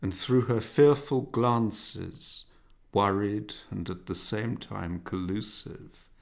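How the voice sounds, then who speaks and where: A man narrating a literary novel as an audiobook, in a measured, expressive, dramatic reading manner. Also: fairly low pitch, gravelly.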